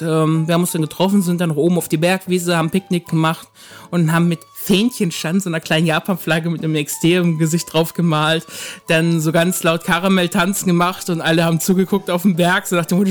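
High-pitched guy rambling through old stories on a podcast, ums everywhere, bit of music behind. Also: fairly even intonation, fast. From northern Germany. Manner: rambling storyteller, many fillers. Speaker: podcast guest. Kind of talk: casual podcast chat.

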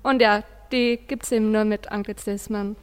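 A woman's voice: medium pitch, fairly even intonation, moderate pace, clear, resonant tone. A female teenage student, giving her view in a radio discussion. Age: teens. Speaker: student radio presenter and panelist. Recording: long hall-like reverb.

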